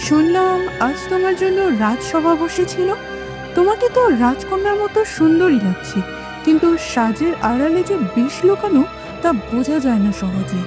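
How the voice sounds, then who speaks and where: Scornful cartoon princess, female performer: high-pitched, sarcastic, jealous of her rival, music behind. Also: lively intonation, normal speed. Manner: sarcastic, mocking. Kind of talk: cartoon fairytale acting.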